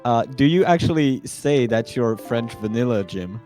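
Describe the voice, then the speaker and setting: A man's voice: mid-range voice, lively intonation, moderate pace, dark tone, faint music behind. A man doing casual game commentary with dry remarks and frequent laughter.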